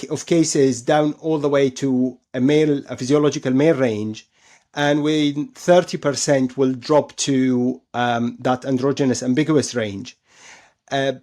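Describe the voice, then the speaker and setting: A man's voice: fairly high pitch, darkish tone. A man speaking as an expert surgeon guest, explaining things in an interview, often repeating and rephrasing himself for emphasis.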